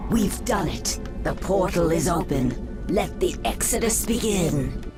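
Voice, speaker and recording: Low, regal serpent-woman. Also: expressive, slow. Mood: triumphant. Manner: formal, solemn. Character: regal female naga sea-serpent warrior, cool and devoted. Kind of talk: fantasy game cutscene acting. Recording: game music behind the voice.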